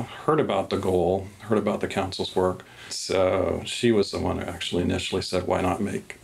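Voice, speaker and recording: A man with a very deep, gravelly voice tells stories enthusiastically in a documentary interview. A faint noise sits under the recording.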